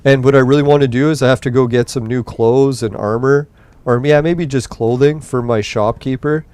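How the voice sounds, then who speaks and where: Laid-back man with a smooth, dry voice. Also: medium pitch, steady tone, moderate pace, darkish tone, no room sound. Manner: rambling, profane, laid-back. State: voice getting tired late at night. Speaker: gaming podcast host. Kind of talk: casual gameplay commentary.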